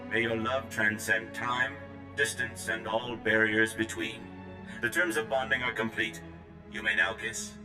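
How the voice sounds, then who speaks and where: A lowish, utterly monotone male droid voiced by an actor officiates in a game. It is solemn, prim and formal. The voice echoes noticeably. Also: a little slow, music in the background, lightly acted. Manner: formal, flatly ceremonial reciting. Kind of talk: scripted video game acting.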